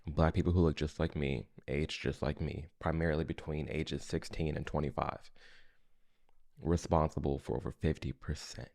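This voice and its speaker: An American man with a low voice and heavy vocal fry gives casual reaction commentary. His delivery is informal and emphatic, like off-the-cuff talk. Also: steady tone, moderate pace, a little mellow. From United States. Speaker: reaction video host, commentator.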